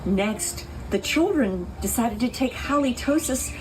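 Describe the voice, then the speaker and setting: High, animated woman reading a storybook. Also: a little hiss or hum, poor audio. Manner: expressive, deliberate, with playful asides to listeners. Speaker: picture book reader for a pet rescue show. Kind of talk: animated children's storybook read-aloud.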